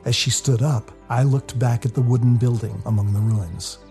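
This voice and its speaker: A man narrates a first-person story in a confessional, expressive way. His voice is dark and mellow with a heavy gravelly fry, and soft music plays underneath. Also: mid-range voice, moderate pace. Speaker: author reading their own novel.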